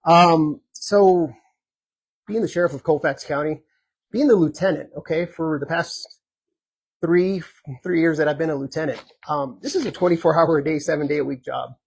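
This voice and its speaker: A man running for sheriff, answering audience questions at a community meet and greet in an earnest, conversational way, hoarse and full of filler ums. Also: a little high, moderate pace. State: hoarse, losing his voice. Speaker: sheriff candidate.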